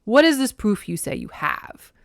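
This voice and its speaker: A woman plays a sea god from a mythological novel, forceful and contemptuous. He speaks bluntly and matter-of-factly, in a close, dry recording. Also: mid-range voice, huge pitch swings, normal speed, gravelly, no room sound. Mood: impatient.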